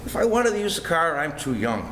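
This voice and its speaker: A whiny teenage boy played by a man, high in pitch and swinging expressively. He protests with wounded self-pity in old radio comedy style, in an echoey room. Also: sing-song, a little fast, a bit bright. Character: aggrieved, whiny fifteen-year-old boy, pleading son. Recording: echoey stage recording with faint noise.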